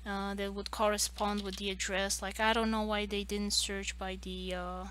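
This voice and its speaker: A woman with a squeaky voice chatting casually while she draws on a stream, rambling with lots of fillers and restarts. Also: level tone, moderate pace, fairly bright tone, slight background noise. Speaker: art streamer. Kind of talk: casual chat while drawing.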